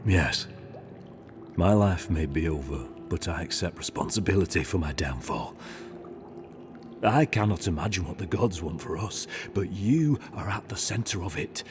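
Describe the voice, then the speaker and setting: A man's voice: sits low, animated, normal speed. A man playing a solemn, honorable assassin and devout zealot in a video game, speaking with grave, formal earnestness. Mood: grave, earnest. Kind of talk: dramatic video game dialogue. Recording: game music behind the voice. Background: music.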